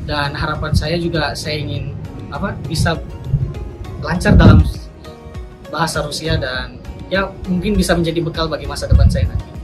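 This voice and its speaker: A man's voice: on the high side, steady tone, normal speed, pauses often, rough recording. A young man from Papua, an incoming university student, answering interview questions politely and formally. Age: young adult. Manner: polite, formal, soft-spoken. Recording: loud music bed under voice.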